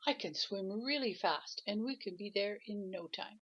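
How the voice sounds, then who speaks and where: An older woman voicing a kind, eager young male blue sea turtle who speaks slowly and reassuringly. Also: mid-range voice, lively intonation, fairly bright tone, breathy edge, muffled recording.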